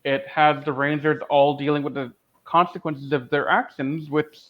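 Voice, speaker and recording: A man's voice: on the high side, level tone, moderate pace. Man podcasting over dull lo-fi audio. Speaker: podcast co-host. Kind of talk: casual fan discussion.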